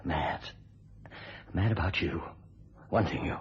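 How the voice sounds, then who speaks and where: A man's voice: low-pitched, slow pace, husky, full character voice. An actor playing a bitter, unhinged middle-aged British hangman in an overwrought, intense radio thriller style. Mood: desperate, haunted. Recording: dull lo-fi radio drama recording.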